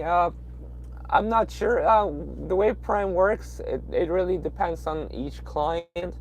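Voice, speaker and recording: A young man from Peru talks in his Peruvian Spanish accent, slightly high-pitched and full of hesitations and restarts as he answers viewer comments. He is sitting in his truck cab at night, with a faint engine hum behind him. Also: darkish tone, low-quality recording. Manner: rambling, hesitant, with frequent fillers and restarts. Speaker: truck driver livestreaming. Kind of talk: casual livestream chat reading viewer comments.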